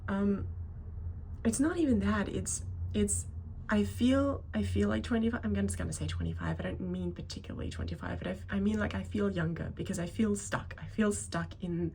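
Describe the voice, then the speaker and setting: A woman's voice: mid-range voice, brisk, dark tone, slight background noise. A woman in her thirties giving an unscripted personal vlog monologue, speaking hesitantly and often circling back to correct herself. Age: 30s. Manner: hesitant, rambling, self-correcting. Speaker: video creator talking to viewers.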